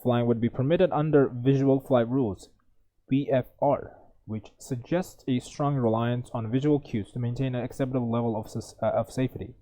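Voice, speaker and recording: A man's voice: mid-range voice, fairly even intonation, normal speed, mellow. A young man tutoring, reading an exam passage aloud with many stumbles and self-corrections, then thinking through the answers. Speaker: IELTS tutor.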